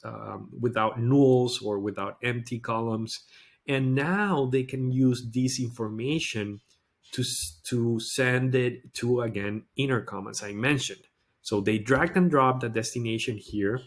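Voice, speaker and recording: A man presenting a casual software walkthrough, explaining step by step in an informal way. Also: medium pitch. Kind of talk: casual screen-share product walkthrough.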